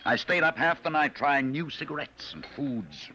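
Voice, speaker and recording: An actor playing a coolly threatening, patient agent from a war-torn future in 1950s radio drama, speaking deliberately. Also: male voice, on the high side, very animated, sharp tone, a little hiss or hum. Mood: gloating, patient. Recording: restored 1950s radio recording.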